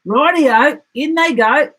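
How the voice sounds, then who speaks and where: A woman's voice: sits high, slow pace, a bit bright, voice pushed up, rough recording. A woman voicing a sly, boastful male dingo in a gleeful, exclamatory storybook manner. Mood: eager.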